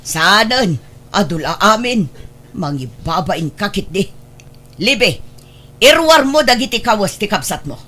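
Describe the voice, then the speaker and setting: A woman's voice: mid-range voice, very animated, measured pace, long pauses, bright, forceful tone, exaggerated voice. A woman playing a stern, reproachful mother who scolds her daughters in a radio drama. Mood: angry, ashamed.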